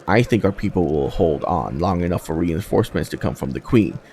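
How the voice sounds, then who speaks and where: A man's voice: low voice, expressive, fairly quick, darkish tone, pitched below the performer's own voice. A man voicing a plucky, plain-spoken young woman soldier from a fantasy game, with a breezy, wry manner. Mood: wry, upbeat.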